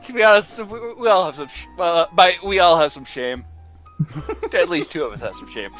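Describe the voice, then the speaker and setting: A man's voice: high-pitched, moderate pace, a bit bright. A young man in casual chat, telling anecdotes in a joking, rambling way. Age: young adult. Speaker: show cast member. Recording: music behind. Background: music.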